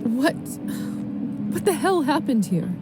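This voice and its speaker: A woman's voice: sits high, big pitch swings, slow, over loud music, heavily acted. A woman playing an earnest, conscientious young woman newly turned vampire in a video game. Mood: shocked. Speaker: lead character of a story game.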